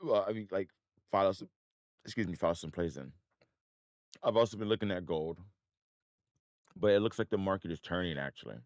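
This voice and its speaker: A man hosting a trading livestream in a casual, rambling way, his voice a bit hoarse. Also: low voice, a little fast, long pauses, no room sound. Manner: rambling, many restarts and fillers. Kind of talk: casual live-stream talk.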